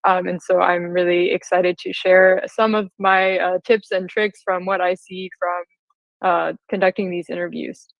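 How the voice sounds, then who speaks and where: A woman's voice: on the low side, flat delivery, measured pace, gravelly. A woman in her twenties with an American accent, giving a conversational presentation to an audience as a guest speaker. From California, United States. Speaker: guest speaker, software engineer. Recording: slightly roomy, dull lo-fi sound.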